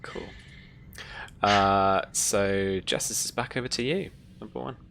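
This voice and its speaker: Very low-voiced woman game master. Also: a little slow, gravelly, fairly bright tone, a little hiss or hum. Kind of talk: running a live tabletop roleplaying game.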